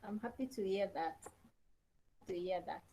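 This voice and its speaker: A woman's voice: mid-range voice, normal speed, very noisy. A woman lecturer teaching an online class over a laptop, repeating key phrases and questions to her students. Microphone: laptop on a video call.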